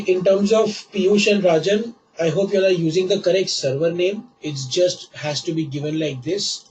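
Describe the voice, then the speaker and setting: A man teaching a live online class while he has a cold, patient and repeating each step slowly. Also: sits high, low-quality recording. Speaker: online course instructor.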